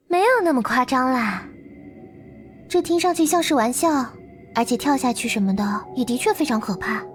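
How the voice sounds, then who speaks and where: A woman playing a mysterious, devoted girl in a visual novel game, speaking in a soft, earnest, coaxing manner. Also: high-pitched, big pitch swings, normal speed, long pauses, soft background music. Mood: gently reassuring. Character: Lilith, mysterious devoted girl from beyond the world.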